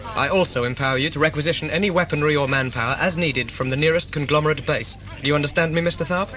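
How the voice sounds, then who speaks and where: An actor playing a cold, ruthless thinking-machine ship commander in a science fiction audio drama, formal and unemotional. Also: male voice, fairly high pitch, fast talker, fairly bright tone, close to an everyday voice. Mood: formal, commanding.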